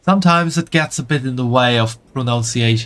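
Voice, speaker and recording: A man's voice: mid-range voice, brisk, fairly bright tone. German-accented man chatting on stream. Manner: friendly, answers chat while playing. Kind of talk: casual chat while gaming.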